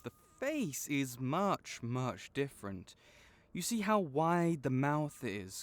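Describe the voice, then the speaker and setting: A man playing a gentle, caring vet for magical creatures in an audio roleplay, speaking in a measured, reassuring, explanatory way. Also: on the high side, no room sound. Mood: knowledgeable, assured. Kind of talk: soft-spoken one-sided comfort roleplay.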